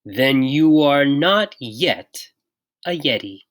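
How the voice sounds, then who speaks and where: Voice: mid-range voice, lively intonation, slow. A storybook reader voicing a huge, menacing old grandfather yeti in a grave, growling manner. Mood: menacing, stern.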